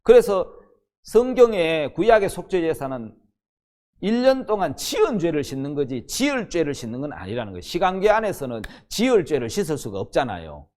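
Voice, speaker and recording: A man's voice: fairly high pitch, big pitch swings, brisk, darkish tone. A man in his sixties, a pastor teaching the Bible in a sermon, emphatic and often posing questions that he answers himself. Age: 60s.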